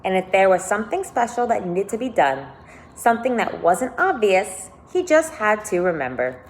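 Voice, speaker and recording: A woman teacher reading a children's novel aloud expressively and pausing to think aloud for her listeners. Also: mid-range voice, sing-song, poor audio. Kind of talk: read-aloud storytelling with commentary.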